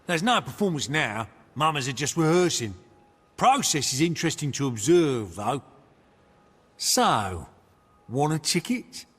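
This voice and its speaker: An actor playing a pushy, streetwise ticket seller in a video game, husky and wheedling. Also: male voice, fairly high pitch, big pitch swings, a little slow, a bit bright, big-room sound, exaggerated voice. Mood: casual, wheedling.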